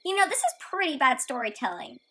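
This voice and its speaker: Woman playing a bright, high-voiced plucky girl heroine. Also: big pitch swings, a little fast, a little hiss or hum, exaggerated voice. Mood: matter-of-fact. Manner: chatty, matter-of-fact, gently corrective. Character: plucky, optimistic girl heroine who contradicts her narrator. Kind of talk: radio play acting.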